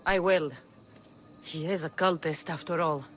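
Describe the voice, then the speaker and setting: A woman's voice: sits low, steady tone, unhurried, soft background music. A woman playing a bold, resolute young Spartan mercenary in a video game, blunt and assertive. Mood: determined. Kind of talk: dramatic video game acting.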